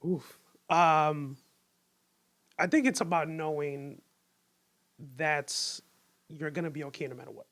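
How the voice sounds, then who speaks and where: Young guy w/ new york accent, kinda high voice, earnest and slangy, lots of "like". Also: slow pace, long pauses, a bit bright. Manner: open, earnest, slangy with many likes. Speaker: podcast guest. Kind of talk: casual podcast conversation.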